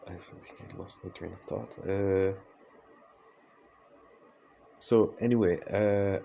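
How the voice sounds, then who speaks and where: Francophone guy rambling in a vlog, deep and mellow, lots of uhs, faint hum behind. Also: vocal fry. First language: French. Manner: hesitant, many fillers and restarts. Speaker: vlogger. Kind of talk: reflective personal vlog monologue.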